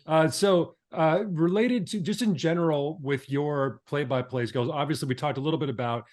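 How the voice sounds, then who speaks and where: A man's voice: on the high side, moderate pace, darkish tone. A man hosting a podcast interview in a conversational style, building up to questions with long, wordy lead-ins. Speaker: podcast host.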